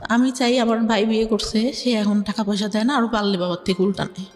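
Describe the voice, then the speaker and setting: A woman's voice: a little high, steady tone, quick pace, darkish tone, big-room sound, soft background music. A young woman, a guest on an interview show, speaking plainly and hesitantly about her own life.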